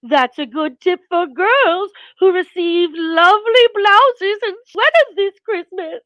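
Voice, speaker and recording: A woman's voice: very high voice, expressive, slow, a bit bright, muffled recording, full character voice. A woman playing a sweet, gushing actress plugging soap in a 1940s-style radio broadcast. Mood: bright, gushing.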